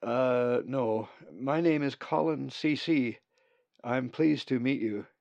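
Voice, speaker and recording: A man's voice: mid-range voice, slow pace, vocal fry, darkish tone, no echo. A man voicing a young, wary male raven who stammers nervously. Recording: dull lo-fi recording.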